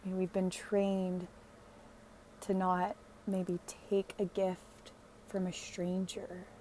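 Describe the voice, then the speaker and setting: A woman guides a meditation in a mellow, relaxed voice. She speaks very slowly and gently, in a hushed, soothing way with long pauses between phrases. There is a faint noise behind her. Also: on the low side.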